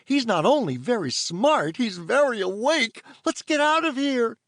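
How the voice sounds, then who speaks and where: A man doing a high, fretful young tooth fairy. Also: sing-song, unhurried, fairly bright tone, no echo, studio quality, heavily acted. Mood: worried.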